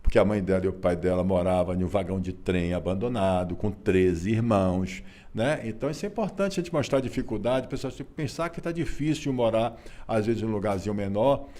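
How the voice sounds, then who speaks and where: A Brazilian man, telling his family story in a relaxed interview, folksy and repetitive with frequent rhetorical tags. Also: lowish voice, brisk. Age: 60s. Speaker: interview guest, author and businessman.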